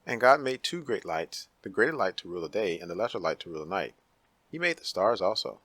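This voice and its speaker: Male narrator for dramatic scripture: low, rough-edged, solemn and declamatory. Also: very animated, fast, fairly bright tone, no echo.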